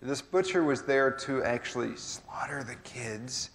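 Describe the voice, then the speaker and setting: A man's voice: mid-range voice, measured pace, a bit bright. Man telling a legend loosely in a church skit; mid voice, reverberant hall. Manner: rambling, many fillers. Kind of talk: casual storytelling. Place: church sanctuary.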